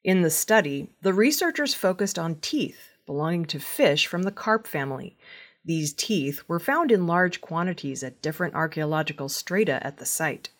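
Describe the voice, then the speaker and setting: A woman reads scripted news in a fairly low voice. Her pitch moves up and down a lot, giving the narration an animated shape. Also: moderate pace. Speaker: news reader.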